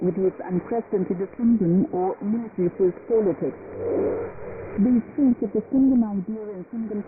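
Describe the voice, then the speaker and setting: A woman announcer reading formal state news in a solemn, measured, declamatory way over shortwave radio. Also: medium pitch. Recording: noisy, tinny, phone-like shortwave radio broadcast.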